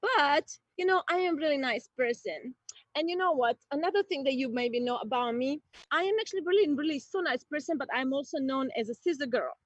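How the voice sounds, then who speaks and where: High-voiced woman from Croatia joking. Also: dry recording. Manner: chatty, playful, slow deliberate delivery with bursts of excitement. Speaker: stand-up comedian. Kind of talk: stand-up comedy set streamed from home. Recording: dull lo-fi stream audio.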